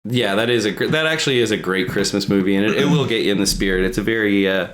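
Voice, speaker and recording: Male co-host with a lowish voice, informal podcast banter, teasing and crude. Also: fast talker, fairly bright tone. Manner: crude, teasing, profane humor. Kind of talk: casual chat.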